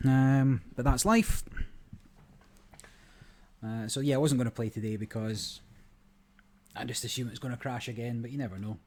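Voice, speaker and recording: A man hosting a gaming channel, talking through a card game in a relaxed, rambling way, his voice a little croaky. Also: mid-range voice, moderate pace, long pauses. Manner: relaxed, rambling, many fillers. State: slightly croaky voice. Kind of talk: casual gameplay commentary.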